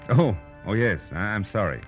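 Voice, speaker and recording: A man's voice: on the low side, sing-song, normal speed. An actor playing a wry, world-weary private detective who narrates his own case in 1940s radio drama style. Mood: distracted, matter-of-fact. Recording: dull vintage radio recording with music.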